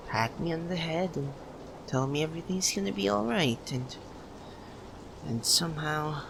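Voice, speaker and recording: A man playing a shy, lovesick young university student, speaking hesitantly in an ASMR roleplay with stammers and pauses. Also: mid-range voice. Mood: tender, nostalgic. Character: shy, lovesick young man, nervous childhood best friend. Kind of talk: ASMR roleplay, soft emotional monologue to the listener. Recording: noticeable steady background ambience noise.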